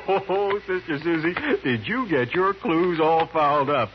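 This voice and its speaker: An actor playing a gruff, teasing police detective with blunt slangy talk in a 1950s radio drama. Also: male voice, fairly high pitch, animated, a little slow. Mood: amused, teasing. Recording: muffled, noisy old radio recording.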